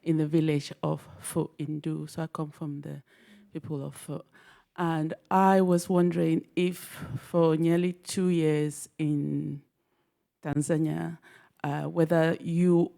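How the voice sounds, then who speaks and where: A man from Cameroon in the audience asking an earnest question at a talk, speaking in a halting, hesitant way. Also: fairly high pitch, level tone, gravelly, warm tone. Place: lecture hall audience.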